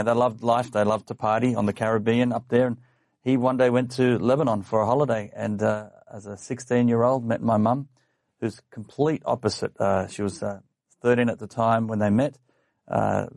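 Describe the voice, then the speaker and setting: A man, a young adult podcast guest, telling his life story in a relaxed way with lots of fillers and small laughs. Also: medium pitch, moderate pace, gravelly, no echo, studio quality. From Australia. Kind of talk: casual interview chat. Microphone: studio microphone.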